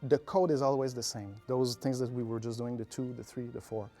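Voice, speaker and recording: A man's voice: medium pitch, steady tone, a little fast, dark tone, soft background music. A man, an orchestra conductor, explaining things instructively with examples and analogies in interview answers. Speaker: orchestra conductor, artistic director.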